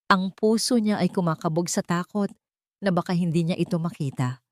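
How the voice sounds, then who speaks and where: Low-voiced woman narrating a dramatic story. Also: normal speed, a little mellow, dry recording, clean studio sound.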